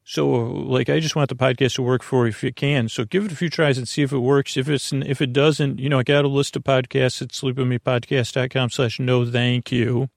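Male sleep-podcast host, mid-pitched with plenty of vocal fry, halting and digressive bedtime monologue. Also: little pitch movement, no room sound, clean studio sound. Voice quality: creaky. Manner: meandering, halting, trailing off mid-thought. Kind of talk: rambling bedtime monologue meant to lull listeners to sleep.

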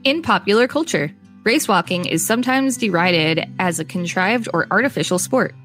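A woman's voice: medium pitch, animated, normal speed, a bit bright, faint music behind. A woman co-hosting a podcast, reading encyclopedia text aloud with casual asides. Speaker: podcast co-host.

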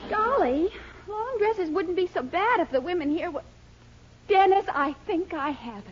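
An excitable young sweetheart from 1940s radio comedy. Her very high, girlish voice is gushing and persuasive, heard through a muffled old broadcast. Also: moderate pace, some chatter behind, a little hiss or hum. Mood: excited, persuasive.

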